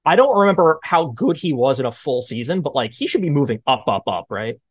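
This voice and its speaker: A man in his thirties hosting a sports podcast, chatting casually in an animated way. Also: mid-range voice, fairly quick, no echo, studio quality. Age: 30s. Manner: animated, mimics exclaimed reactions. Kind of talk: casual sports debate chat.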